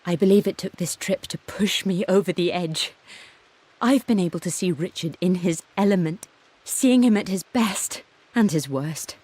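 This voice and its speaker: A woman's voice: on the low side, a little mellow, husky. A woman playing a passionate, headstrong young British newlywed, narrating her journal articulately in a video game voice-over. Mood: tender, reflective. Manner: articulate, heartfelt, resolute.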